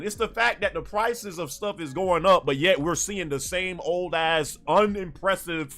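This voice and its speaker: A man hosting a livestream and taking callers, talking in casual chat, animated and full of fillers and restarts. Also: high voice. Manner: animated, laughs often, many fillers and restarts.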